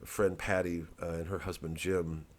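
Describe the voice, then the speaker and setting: A man's voice: low-pitched, little pitch movement, moderate pace. An American man, a comedian, talking casually to his fans in a rambling, digressive monologue with some swearing.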